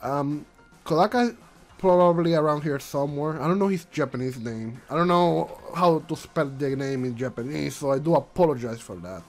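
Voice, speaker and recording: Male vlog host, congested and stuffy, high lively voice, faint music under him, rambling non-native English. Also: normal speed. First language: not English. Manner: rambling, repeats himself, frequent restarts. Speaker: channel host. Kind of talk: casual commentary vlog.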